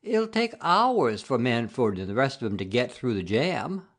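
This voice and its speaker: A woman's voice: a bass voice, very animated, normal speed, full character voice. A woman playing a hesitant studio underling, mild and deferential, placating the boss in a dramatized story. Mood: uneasy.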